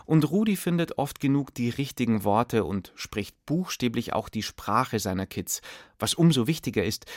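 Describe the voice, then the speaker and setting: Guy narrating a radio doc, steady and clear, super dry close mic. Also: mid-range voice, no room sound. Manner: measured, clear storytelling. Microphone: close studio mic.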